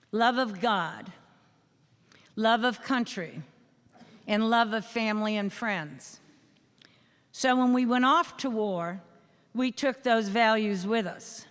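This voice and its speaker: An older woman with a New England accent speaks to a school assembly as a veteran, earnest and emphatic, with measured pauses. Her voice is smooth and clear, and it rings with a long reverb through a large hall. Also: fairly high pitch, fairly bright tone. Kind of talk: speech. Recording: echoey hall.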